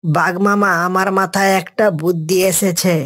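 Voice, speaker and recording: A man's voice: high voice, fairly even intonation, moderate pace, fairly bright tone. Man playing a deferential cartoon animal sidekick. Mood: eager, worried. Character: deferential, lazy animal helper of the tiger. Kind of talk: cartoon voice acting.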